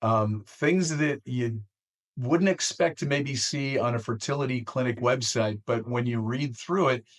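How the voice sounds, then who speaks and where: A man hosting an interview, asking questions conversationally in short, thoughtful, measured phrases. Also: medium pitch. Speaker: interviewer, host.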